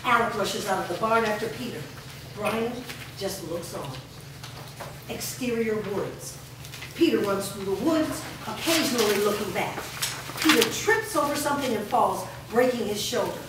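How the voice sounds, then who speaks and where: A woman's voice: medium pitch, moderate pace. Distant, echoey woman reading stage directions. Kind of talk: scripted narration at a staged reading. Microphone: distant microphone. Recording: distant, very echoey, noisy room recording.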